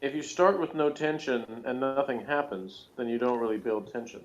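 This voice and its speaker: A man's voice: mid-range voice, normal speed. A man chatting casually as a co-reviewer, with a self-deprecating way of talking. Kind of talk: casual chat. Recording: dull lo-fi audio, faint noise.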